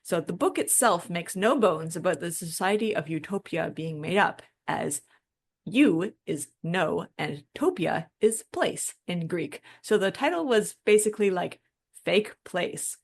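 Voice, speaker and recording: Lowish-voiced woman explaining casually. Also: expressive, unhurried, vocal fry, slightly rough. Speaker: podcast co-host. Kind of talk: casual explanatory podcast discussion.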